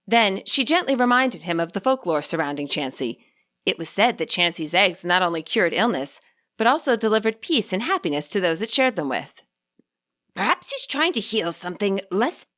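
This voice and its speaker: Bright-voiced woman narrating a story. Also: mid-range voice. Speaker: fan fiction author and narrator.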